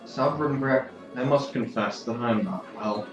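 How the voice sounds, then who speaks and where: A man's voice: medium pitch, moderate pace, music in the background, natural voice. Man playing a troubled official, dull echoey sound. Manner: formal, confessional. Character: troubled, deferential male official full of doubt. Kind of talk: audio drama acting.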